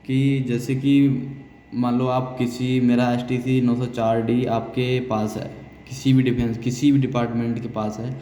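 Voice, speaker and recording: An Indian man works through a technical tutorial, repeating key phrases as he explains each step. His voice is mid-pitched and soft-edged, with no sharpness. The room is very echoey, with some background noise. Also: flat delivery, measured pace. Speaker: technical instructor. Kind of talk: hands-on spoken software tutorial. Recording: echoey reverberant room, noisy, distant sound.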